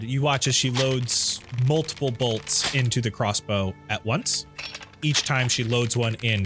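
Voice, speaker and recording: A man narrating a tabletop roleplaying game as the game master, describing what characters do and sense. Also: medium pitch.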